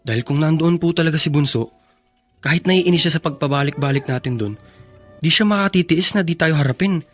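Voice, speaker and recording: An actor playing an earnest, respectful young son in a Filipino radio drama, polite and pleading. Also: male voice, fairly high pitch, fairly quick, faint music behind, lightly acted. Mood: doubtful, uneasy.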